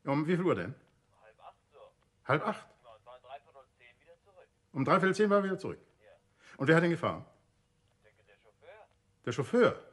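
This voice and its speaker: An actor playing a composed, courteous and urbane detective in a vintage radio play, speaking conversationally with a slightly husky voice. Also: male voice, a little high, big pitch swings, measured pace, pauses often, a bit bright, exaggerated voice. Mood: surprised, polite.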